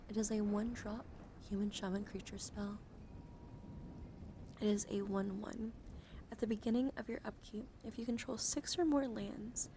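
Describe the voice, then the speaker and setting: A woman livestream host speaking softly and gently in an ASMR style, often slipping into whispers, close to the microphone. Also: medium pitch. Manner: frequently drops into whispers. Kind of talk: soft-spoken ASMR card reading and casual stream chat. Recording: clear background ambient noise.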